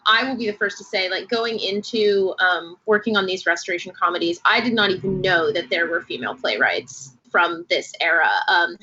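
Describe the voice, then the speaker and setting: A woman's voice: medium pitch, sharp tone, slightly rough, lo-fi sound. A woman chatting casually and enthusiastically as a podcast guest. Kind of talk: casual chat.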